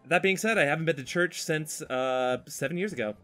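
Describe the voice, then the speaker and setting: A man's voice: on the high side, fairly quick, a bit bright. A man chatting casually and talkatively on a podcast while he draws, with a joking, exasperated manner. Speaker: podcast host.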